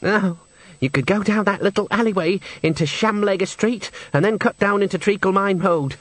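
A man voicing a wistful opera singer from rough city slums, hesitant and complaining, in a storybook reading. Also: high-pitched, normal speed, fairly bright tone, dry recording, voice pushed up.

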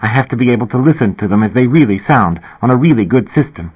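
A man reading an audiobook and voicing a shy, breathless young woman singer who talks in a rushing, excited way. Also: medium pitch, breathy edge, pitched above the performer's own voice. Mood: eager, breathless. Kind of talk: audiobook character voice. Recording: tinny phone-like audio.

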